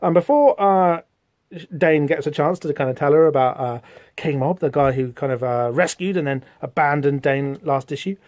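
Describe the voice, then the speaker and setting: A man's voice: a little high, moderate pace, a little mellow, no room sound. A man hosting a podcast, musing casually over a comic with frequent ums and restarts. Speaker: podcast host. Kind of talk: casual read-along comic commentary. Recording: dull, slightly muffled podcast audio.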